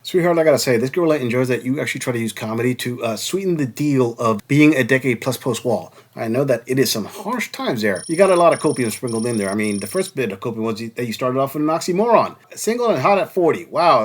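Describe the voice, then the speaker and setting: A man hosting a reaction channel, mocking and blunt as he comments on clips. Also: mid-range voice.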